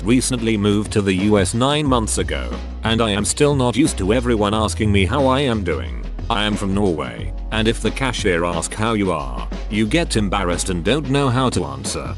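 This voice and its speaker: A man's voice: on the low side, expressive, normal speed. A man narrating a compilation of online posts in a scripted, evenly read style. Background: background music.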